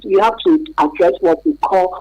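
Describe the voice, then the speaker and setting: A woman's voice: fairly low pitch, normal speed, mellow, rough recording. Emphatic Nigerian woman explaining as a therapist. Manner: emphatic, repeats key words, checks listener follows. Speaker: mental health therapist, interview guest. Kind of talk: explanatory radio interview.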